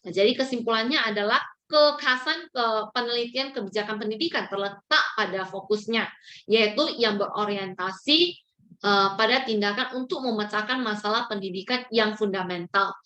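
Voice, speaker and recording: A woman's voice: medium pitch, normal speed, bright, forceful tone. A woman giving a formal, didactic lecture-style explanation, as if working from notes. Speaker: lecturer presenting on education policy research.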